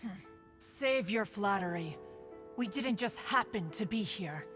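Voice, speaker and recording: A woman's voice: medium pitch, animated, measured pace, close to an everyday voice. An actress voicing a haughty female adeptus in a game cutscene, cold and disdainful. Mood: scornful. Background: music.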